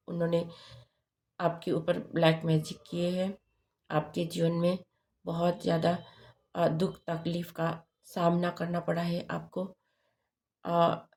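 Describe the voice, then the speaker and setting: A woman talks through a tarot reading in a low, gravelly voice worn hoarse by a sore throat. She speaks very slowly and haltingly, pausing on fillers and tag questions. She sounds like she is leading viewers through the cards one at a time. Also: a little mellow. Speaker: tarot reader.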